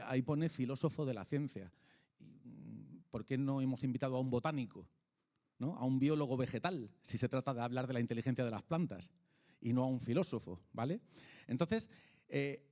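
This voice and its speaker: A man with a Peninsular Spanish accent speaks to an audience as a lecturer. His voice sits at a medium pitch. He talks conversationally and haltingly, feeling his way through phrases and often adding little questions to check in. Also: darkish tone. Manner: conversational, halting, frequent rhetorical tag questions. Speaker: speaker, philosopher of science and author. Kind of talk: informal public lecture.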